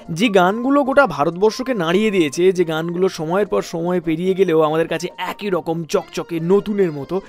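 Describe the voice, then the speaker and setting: A man presenting a music radio show in a chatty, animated storytelling way, speaking straight to the listener. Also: high voice.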